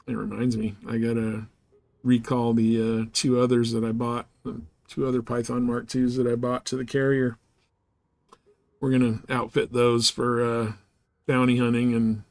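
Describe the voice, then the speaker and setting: A man's voice: mid-range voice, fairly even intonation, moderate pace, vocal fry, no echo. A man chatting casually and informally as he hosts a live game stream, rambling in slangy language.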